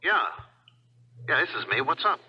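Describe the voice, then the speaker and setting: A man's voice: a little high, sing-song, moderate pace, slightly rough, exaggerated voice. An actor playing an anxious, tender policeman husband, stammering and coaxing, in 1970s radio drama. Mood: puzzled, concerned. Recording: dull 1970s radio broadcast.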